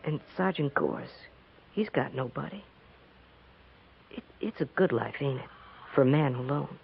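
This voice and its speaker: An actor playing an earnest, pleading young frontier farm boy with a rural American accent and a halting, folksy manner in a 1950s radio western. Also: medium pitch, expressive, vocal fry, slight background noise. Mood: wistful. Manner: halting, folksy, stammering when pressed. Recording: dull 1950s radio recording.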